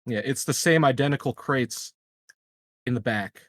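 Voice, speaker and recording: A man with a mid-pitched voice plays in a tabletop game. He speaks casually and hesitantly, searching for his words, in very dry audio. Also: normal speed, a bit bright, dry recording. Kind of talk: casual improvised game talk.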